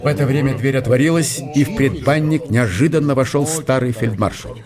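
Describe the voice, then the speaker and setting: A man reading literary narration for an audio drama in a measured, storytelling manner. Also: medium pitch, crowd noise.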